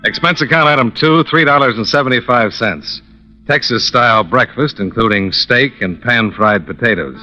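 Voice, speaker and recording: A man's voice: mid-range voice, normal speed, music in the background, close to an everyday voice. An actor playing a cool, businesslike American insurance investigator in a 1950s radio detective drama, clipped and dry in his narration. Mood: dry, matter-of-fact. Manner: clipped, dry, matter-of-fact. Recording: muffled old-time radio recording.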